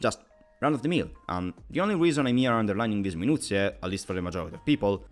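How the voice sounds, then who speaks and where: A man's voice: fairly low pitch, animated, rapid-fire, soft background music. A man narrating a scripted video game review in an analytical, opinionated and wordy manner.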